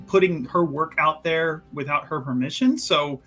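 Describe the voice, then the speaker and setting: Higher, bright-voiced man, rambling. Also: normal speed, soft background music, low-quality recording. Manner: rambling, self-correcting. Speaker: player. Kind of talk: casual tabletop play.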